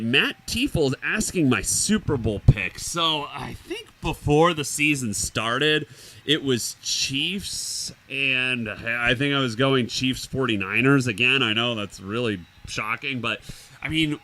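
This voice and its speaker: A man's voice: mid-range voice, pushed and bright. A man with an upstate New York accent, co-hosting a live stream and giving casual play-by-play commentary on a football game. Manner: easygoing, chatty, with filler words.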